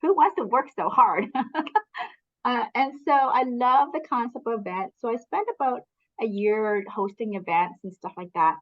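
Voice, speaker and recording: A woman's voice: fairly high pitch, normal speed. Earnest middle-aged woman, slightly dull audio. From Canada. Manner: candid, earnest, motivational. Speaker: guest, business coach. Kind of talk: casual interview storytelling.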